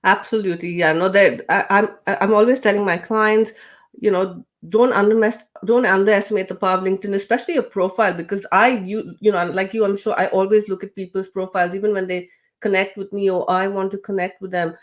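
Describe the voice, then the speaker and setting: A woman speaking as an interview guest, loose and conversational, pausing on fillers and restarting her words. Her voice sits at an ordinary middle pitch. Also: fairly even intonation. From grew up with British English. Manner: chatty, many fillers and restarts. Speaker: guest, LinkedIn trainer and coach. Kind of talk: casual interview talk.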